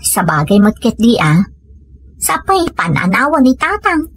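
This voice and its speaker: A woman's voice: on the high side, very animated, measured pace, husky, exaggerated voice. A Filipino woman acting a hardworking village family woman in an Ilocano audio comedy drama. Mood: earnest, concerned.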